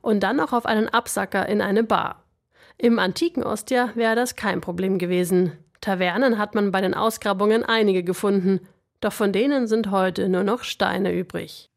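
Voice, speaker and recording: A woman's voice: medium pitch, fairly even intonation, moderate pace, creaky. A woman reporting for radio, reading scripted narration and translated quotes.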